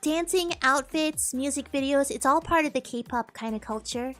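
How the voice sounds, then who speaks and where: A woman's voice: sits high, normal speed, a bit bright. A young woman streaming and chatting casually with her viewers, bubbly and upbeat. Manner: bubbly, upbeat, with playful bits of singing. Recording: faint music behind.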